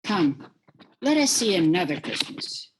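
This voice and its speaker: A woman voicing a calm, questioning spirit in an amateur radio play read over a video call. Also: on the low side, measured pace, a little hiss or hum. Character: gentle questioning spirit, female.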